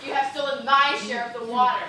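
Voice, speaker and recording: Woman acting a high-pitched, desperate, proud dancer in an echoing theatre. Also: flat delivery, sharp tone. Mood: furious, indignant. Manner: slow, imploring, melodramatic. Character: proud, desperate female dancer, parched and delirious. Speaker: stage actor. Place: indoor theatre stage, recorded from afar. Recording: echoey stage, distant microphone, noisy.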